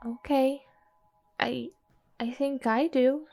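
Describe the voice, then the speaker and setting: Casting: woman as an anxious, withdrawn girl in a tabletop game, fairly high-pitched, terse and unsure. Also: steady tone, slow pace, vocal fry, mellow, faint music behind, exaggerated voice. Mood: soft, persuading. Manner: terse, insecure, plain. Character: Dorothy, timid, downcast, guarded girl.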